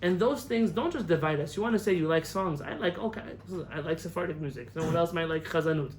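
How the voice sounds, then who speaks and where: A young man from the United States giving a lively lecture as a rabbi, telling stories, acting out quotes and laughing at his own jokes. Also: a little high, quick pace. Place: lecture hall in front of an audience. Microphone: camera recording of a live talk.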